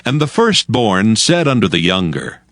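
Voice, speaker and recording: A man's voice: lowish voice, very animated, normal speed, fairly bright tone, no room sound. A man narrating scripture in archaic English as a scripted dramatized audio reading. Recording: prominent background music under narration.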